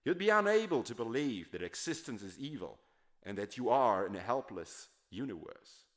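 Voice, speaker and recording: A man performs a literary monologue as a dramatic audiobook reading. His delivery is declamatory and emphatic, with a very animated pitch that rises and falls widely around a middle register. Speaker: literary reader performing a novel character's monologue.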